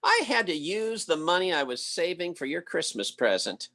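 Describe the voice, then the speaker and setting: A man playing a blustering but soft-hearted husband in an old-time radio comedy, folksy, excitable and bumbling. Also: high-pitched, normal speed, full character voice. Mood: sheepish.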